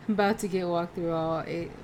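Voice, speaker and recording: A woman's voice: on the low side, a little mellow. A woman streaming a game, chatting casually in a playful, exclaiming way. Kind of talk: casual game livestream chat, reading game dialogue aloud. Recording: noticeable background noise.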